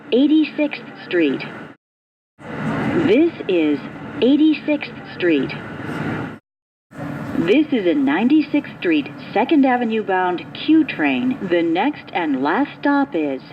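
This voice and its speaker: A woman reading scripted train stop announcements in a formal, measured way, with pieced-together phrasing. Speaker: transit announcer. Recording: muffled recording with rumbling train noise. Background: subway train ambience.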